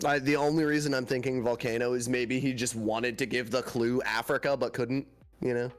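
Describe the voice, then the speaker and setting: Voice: mid-range voice, fairly even intonation, fairly quick, soft background music. A player thinking aloud uncertainly and swearing casually during a word game. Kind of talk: casual gaming chat.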